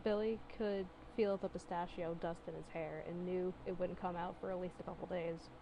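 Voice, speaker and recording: A woman's voice: fairly low pitch, steady tone, moderate pace, gravelly, background noise. A woman doing a casual dramatic reading of fan fiction, giggly and incredulous, breaking off for mocking asides.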